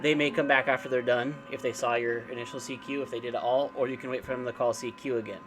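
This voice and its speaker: A man giving an informal, unscripted software walkthrough, explaining step by step in a casual way. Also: medium pitch, steady tone, fast talker, noisy background. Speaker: amateur radio operator giving a software tutorial. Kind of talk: informal screen-share tutorial, unscripted walkthrough.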